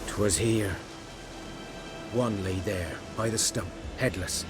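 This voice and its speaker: Melancholy outcast hunter, lowish voice, guarded and plain, music under. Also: male voice, slow pace. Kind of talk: video game voice acting. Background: game music.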